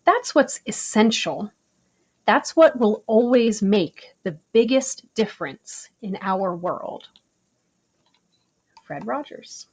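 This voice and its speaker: A woman reading a children's picture book aloud in an expressive storytelling style, with deliberate, emphatic phrasing. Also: mid-range voice, breathy edge.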